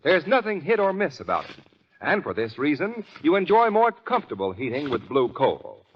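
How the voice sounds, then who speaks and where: A man's voice: fairly high pitch, slightly rough. A man with an American accent reading a scripted commercial as a 1940s radio announcer, on a muffled, faintly hissy recording.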